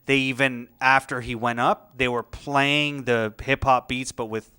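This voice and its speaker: A man co-hosts a casual comedy chat, agreeing readily and telling stories in a relaxed way. His voice is bright and smooth, at an ordinary pitch for a man. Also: a little slow. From Canada. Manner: agreeable, lots of "yeah, yeah, yeah", storytelling. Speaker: podcast co-host.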